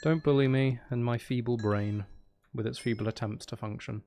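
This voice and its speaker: A man's voice: medium pitch, steady tone, normal speed, dark tone. A man hosting a casual gaming livestream, chatting in a playful, teasing, rambling way and sounding a little tired. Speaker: livestream host.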